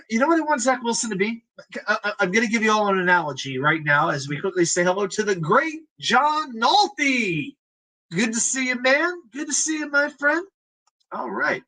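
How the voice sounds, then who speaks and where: A man hosting a live sports talk stream, chatting in an animated way and greeting viewers as he goes. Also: sits high, poor audio. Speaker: sports talk show host.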